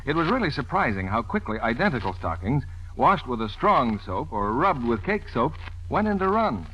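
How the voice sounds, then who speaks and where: Animated American male radio announcer. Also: mid-range voice, normal speed. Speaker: commercial announcer. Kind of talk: 1940s radio advertising read. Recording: muffled vintage radio broadcast.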